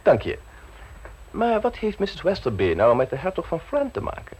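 An actor playing a smooth, self-assured scheming secretary in a radio play, teasing and unflappable, with a husky voice. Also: male voice, high-pitched, huge pitch swings, normal speed, a little mellow, slight background noise, low-quality recording. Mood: curious, charming. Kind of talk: radio play acting in Dutch.

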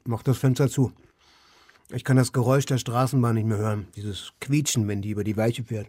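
An actor playing an idle, melancholy married man in a radio play, speaking in deliberate, formal sentences with a deadpan manner. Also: mid-range voice, no echo, close to an everyday voice. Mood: weary, domestic. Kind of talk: German radio play acting and narration.

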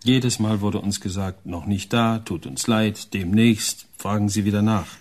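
An actor playing a meek, humble ageing husband in a radio drama, adding facts plainly and a little hesitantly. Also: male voice, fairly low pitch, fairly even intonation, measured pace, darkish tone.

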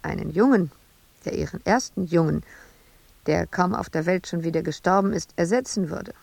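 A woman's voice: on the low side, moderate pace, vocal fry, a little mellow, no echo. An actress playing an embittered, intense woman sculptor confined in an asylum, in a radio drama monologue. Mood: brooding, bitter.

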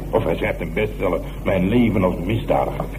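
An actor playing a cynical, hard-boiled police inspector in a radio drama, talking in a wry, mocking, colloquial way. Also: male voice, low voice, brisk, close to an everyday voice. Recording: humming, noisy radio play recording.

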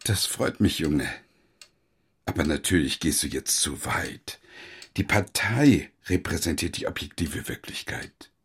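An actor playing a pompous, frail retired army officer, an older man with a husky, lecturing way of talking in a radio drama. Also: a bass voice, expressive, unhurried, exaggerated voice. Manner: lecturing, philosophizing.

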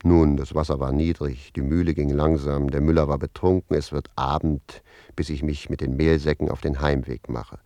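A man's voice: a bass voice, little pitch movement, normal speed, warm tone, dry recording. An actor playing a roguish servant who tells of his love adventures with mock innocence and emphatic repetition, in a German radio play. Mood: narrating. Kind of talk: German radio play acting, storytelling in dialogue.

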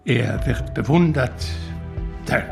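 A man's voice: mid-range voice, lively intonation, slow, vocal fry, music in the background. An actor playing an urbane, talkative and evasive male confidant in a radio drama, with mannered, eloquent phrasing. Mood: confiding. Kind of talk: German radio drama acting.

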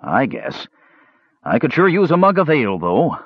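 A man's voice: fairly high pitch, huge pitch swings, moderate pace, no echo, dull audio, voice pushed up. A man voicing an easygoing, long-suffering warrior, plain and a little hesitant, as an audiobook character. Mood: weary. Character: easygoing, slow-witted male warrior, long-suffering companion.

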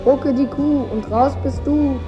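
A childlike girl chanting rhymes in a radio play. Her voice is high and singsong. It sounds echoey, with music behind. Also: fairly even intonation, a little slow, lo-fi sound.

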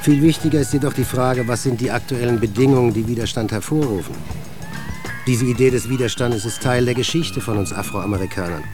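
An actor playing a reflective, proud resistance veteran who explains his past in a measured, interview-like radio drama monologue. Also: medium pitch, natural voice. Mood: earnest, reflective. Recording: music under the voice. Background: music.

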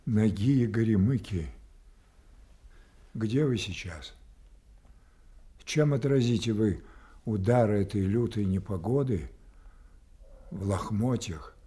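An actor playing an old, deposed king losing his reason, declaiming with halting, weighty anguish in a theatrical radio drama. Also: fairly low pitch, mellow. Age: older.

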